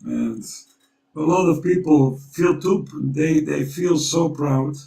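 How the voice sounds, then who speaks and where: A Dutch-accented man talks slowly in a gravelly, mellow voice. He is earnest and urgent, and circles back to repeat his points. He sounds set back from the mic in an echoey room. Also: fairly high pitch, distant mic. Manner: urgent, storytelling, repeats himself. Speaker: co-host. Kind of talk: earnest conversational appeal.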